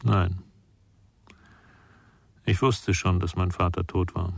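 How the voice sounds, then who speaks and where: An actress playing a composed, probing female confidante in a radio crime drama, measured and questioning. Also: rumbling low, fairly even intonation, vocal fry, breathy edge, no room sound, exaggerated voice.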